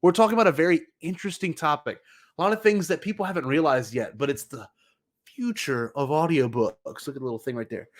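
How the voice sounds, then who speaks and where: A male podcast host with a fairly high voice for a man. He talks casually and rambles through his thoughts, joking and playfully hyping things as he goes. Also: quick pace.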